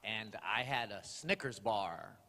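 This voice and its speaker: A man's voice: lowish voice, lively intonation, takes it slowly. Man preaching his testimony in a reverberant sanctuary. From Los Angeles area, California. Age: 40s. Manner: conversational with many fillers, rising to loud preaching bursts. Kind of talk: testimony sermon to a congregation. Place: church sanctuary. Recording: long hall reverb.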